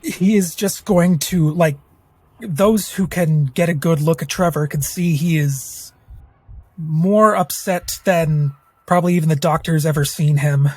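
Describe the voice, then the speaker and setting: A player with a husky voice vividly describing their character in a tabletop game. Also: medium pitch, measured pace, darkish tone, dry recording.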